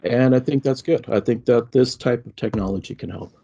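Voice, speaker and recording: A man's voice: medium pitch, normal speed, vocal fry, dark tone, slightly rough, lo-fi sound. A middle-aged man with a Canadian accent, speaking conversationally on an online panel and often checking in with "okay?". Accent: Canadian English.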